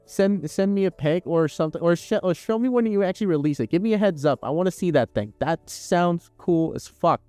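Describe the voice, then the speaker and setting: A young man livestreaming while he crafts, rambling through chat with frequent swearing and laughter. His voice sits slightly high but mellow in tone. The sound is dry and close, with faint music underneath. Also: animated, brisk, few pauses, dry recording. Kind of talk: casual live stream chat while crafting.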